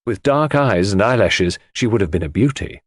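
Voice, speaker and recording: A man reading a classic mystery novel as an audiobook narrator, in a measured, expressive storytelling manner. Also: lowish voice, breathy edge, no room sound, clean studio sound.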